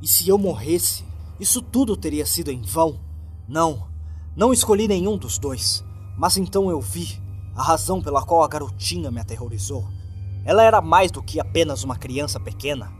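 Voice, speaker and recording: A man's voice: fairly high pitch, expressive, moderate pace. A man with a Brazilian accent reading a scripted horror story as narrator, in a grave and dramatic manner. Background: background music.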